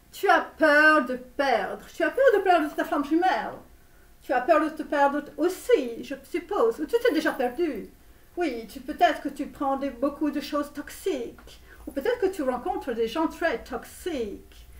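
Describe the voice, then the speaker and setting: Woman putting on a high-pitched, pushy tarot guru. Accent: put-on Romanian. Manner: repetitive, halting, theatrical, insistent. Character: comic fake Romanian-Brooklyn online tarot reader, pushy woman, falsely reassuring. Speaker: online tarot reader hosting a channel. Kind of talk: parody tarot reading spoken to viewers. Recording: roomy echo, distant microphone.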